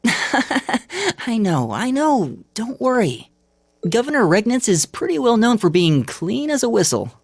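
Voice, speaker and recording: Female actor as boyish young student, video game, timid, friendly, highish lively voice, hesitant. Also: unhurried, slightly rough, dry recording, studio quality, heavily acted. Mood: mild, friendly. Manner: hesitant, mild.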